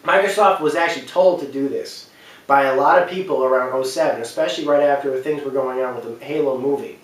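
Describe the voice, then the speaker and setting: A man giving an unscripted opinion talk as a video commentator, speaking emphatically in a halting, repetitive, rambling way. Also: medium pitch. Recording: very echoey room, distant microphone.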